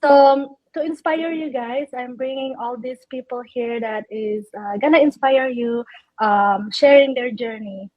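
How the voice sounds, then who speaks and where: A woman's voice: on the high side, unhurried, no room sound, lo-fi sound. A woman hosting a live online chat, a little nervous and chatty, with many fillers, over a video call. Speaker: host.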